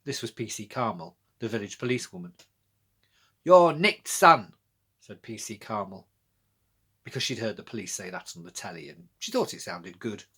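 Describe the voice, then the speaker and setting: Husky British man reading a children's story. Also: on the low side, a little fast, fairly bright tone. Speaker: author-narrator. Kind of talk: scripted children's storybook narration.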